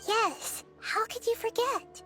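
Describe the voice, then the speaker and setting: A woman voicing a young girl deity in a video game, curious, wise and gentle, with articulate, inquisitive lines. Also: very high voice, slow pace. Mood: concerned, curious. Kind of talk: scripted game dialogue. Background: music.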